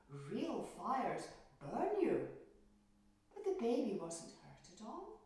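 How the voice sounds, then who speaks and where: A woman telling a myth to children as a storyteller, expressive, deliberate and dramatic. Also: mid-range voice. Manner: deliberate, dramatic, with questions to young listeners. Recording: very echoey room, distant microphone.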